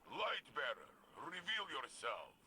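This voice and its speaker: Stubborn noble warrior, animated delivery. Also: male voice, a little high, takes it slowly, a little hiss or hum, heavily acted. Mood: urgent. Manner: formal, declamatory, emphatic phrasing. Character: noble, anguished veteran warrior, fiercely protective and stubborn. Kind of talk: dramatic video game voice acting.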